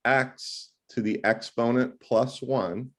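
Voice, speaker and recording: A man tutoring math one-on-one over a video call, talking loudly in an animated, joking way. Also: mid-range voice, slow pace. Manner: loud, animated and jokey.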